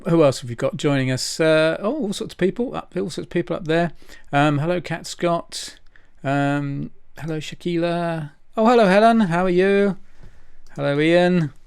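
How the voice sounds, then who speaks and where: A man's voice: fairly high pitch, clear, resonant tone, no room sound, studio quality. A man hosting an informal livestream, chatty and hesitant as he rambles through announcements. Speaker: event host and presenter.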